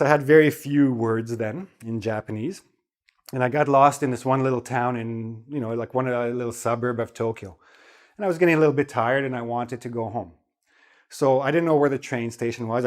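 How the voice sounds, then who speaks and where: A man giving a spoken English lesson in a relaxed, chatty way, often checking in with the listener. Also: medium pitch. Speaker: English teacher.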